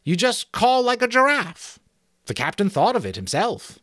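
High, thin, trembling sentry. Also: male voice, huge pitch swings, normal speed, a bit bright, no room sound, studio quality, exaggerated voice. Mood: soothing. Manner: soothing, simple-minded, chatty. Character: dim, obliging outlaw sentry with a thin trembling voice.

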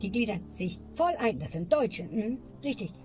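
A woman's voice: mid-range voice, expressive, normal speed, darkish tone. A woman ranting in a comedy sketch and ending her lines with a questioning tag. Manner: grumbling, tags lines with "ne?". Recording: music bed behind voice. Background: music.

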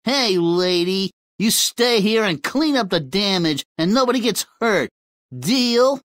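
A man playing a gruff, bossy fairy-tale dwarf in a video game, with a comic delivery. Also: high-pitched, lively intonation, slow pace, a bit bright, dry recording, studio quality, exaggerated voice. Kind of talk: video game character acting.

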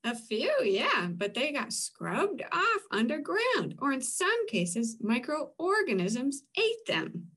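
A woman voicing a breezy, relaxed female raindrop, chirpily explaining her travels in a comic strip read-aloud. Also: high voice, takes it slowly, few pauses, full character voice. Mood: carefree.

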